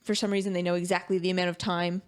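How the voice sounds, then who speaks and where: A woman co-hosting a podcast, talking through a film in an informal, conversational way with animated retelling. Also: lowish voice, very fast. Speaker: podcast co-host. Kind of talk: casual film review chat.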